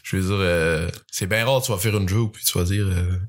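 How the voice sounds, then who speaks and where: A man's voice: low voice, normal speed. A man from Jonquière with a Quebec French accent, a stand-up comedian telling rambling stories and often amused by himself in casual chat. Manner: rambling, self-amused storytelling.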